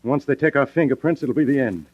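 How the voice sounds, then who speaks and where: A man's voice: mid-range voice, quick pace, close to an everyday voice. Man acting a suave schemer, dull old radio. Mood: tense, resigned. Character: smooth scheming male lover, coolly fatalistic murderer. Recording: dull 1940s radio recording.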